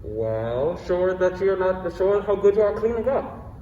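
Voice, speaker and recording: A man's voice: high voice, big pitch swings, normal speed, mellow, a little hiss or hum. A young man acting in a student stage play as a laid-back, supportive friend, casual and reassuring. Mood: encouraging. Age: young adult. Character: laid-back, supportive young male buddy giving advice. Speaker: student actor. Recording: dull echoey hall, distant mic.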